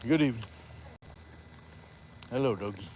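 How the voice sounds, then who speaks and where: A man's voice: medium pitch, moderate pace, darkish tone, slight background noise, low-quality recording. A man hosting a live stream while walking outdoors, chatting casually and reading viewer comments aloud with playful asides. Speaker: livestream host.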